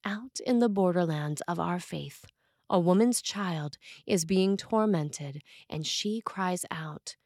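A woman priest reading a scripted sermon in a measured, earnest way with dramatic emphasis on key phrases. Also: lowish voice, a little mellow, no room sound, clean studio sound.

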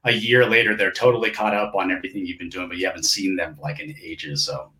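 A man in his forties talking casually as an interview guest, with relaxed, rambling speech full of fillers and restarts; Japanese was his first language. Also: sits low, fast talker. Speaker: guest, session percussionist.

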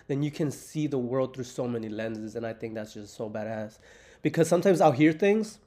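Man, 30s, podcast host, casual rambling chat, swears freely, mid-pitched. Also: a little mellow. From United States. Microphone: remote call.

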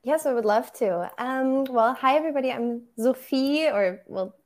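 Young woman, thoughtful and measured. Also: high-pitched, a little mellow. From Germany. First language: German. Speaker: photographer, podcast guest. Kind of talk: interview answers.

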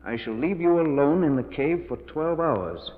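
A man's voice: on the high side, animated, normal speed, close to an everyday voice. Actor as a tense, desperate man in old radio drama. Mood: grim, reading aloud. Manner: emphatic, melodramatic. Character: tense guilty man trapped in a cave, desperate schemer. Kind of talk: 1940s radio acting. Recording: muffled 1940s radio recording, reverberant.

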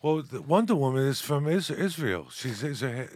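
A man on a casual talk panel with an average-pitched voice. He jumps into the debate often, repeating short phrases to make his point. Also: expressive, normal speed, a little mellow, dry recording.

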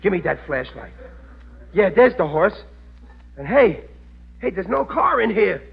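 A man's voice: high-pitched, sing-song, unhurried, vocal fry, slightly rough, full character voice. An actor playing a bumbling, grumbling working-class father in a vintage radio comedy, with folksy, ungrammatical speech. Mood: exasperated, wisecracking. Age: middle-aged. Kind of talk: 1940s-50s radio comedy acting. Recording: muffled, noisy old radio recording.

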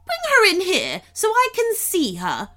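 A shrill young storybook princess, spoiled and bossy. Her very high voice screeches and snaps out orders with wild swoops in pitch, pushed loud and imperious. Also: huge pitch swings, slow, heavily acted. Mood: screeching demand. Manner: imperious, snapping.

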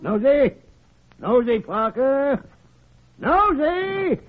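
An actor playing a gruff, cranky old senior doctor with a soft heart, blustering and fatherly in a 1940s radio drama style. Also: extremely high pitch, very animated, very slow, over loud music, exaggerated voice. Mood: irascible. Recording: vintage radio recording, narrow band.

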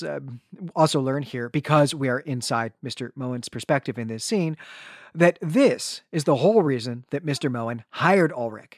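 A man's voice: a little high, no room sound, studio quality. A man co-hosting a podcast, recapping a story conversationally and often checking in with a tag question. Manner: conversational, frequent "right?" tags. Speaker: podcast co-host. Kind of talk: casual book discussion and plot recap.

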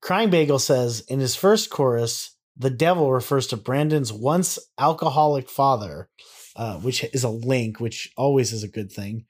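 Guy cohosting a podcast, rambles and swears a lot, slangy, chatting and reading stuff out, medium pitch. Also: unhurried. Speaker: podcast co-host. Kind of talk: casual banter, reading song annotations aloud.

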